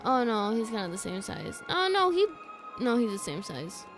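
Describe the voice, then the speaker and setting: A woman's voice: fairly high pitch, big pitch swings, moderate pace, over music. A woman giving casual, goofy, slangy livestream commentary on a video game, with a teasing manner. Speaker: game streamer.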